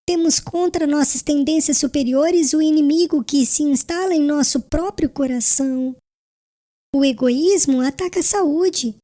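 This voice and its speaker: A woman playing a gentle, devout, ailing young bride in a dramatized audiobook, speaking solemnly and tenderly. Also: high-pitched, lightly acted. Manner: solemn, tender, preachy formal delivery.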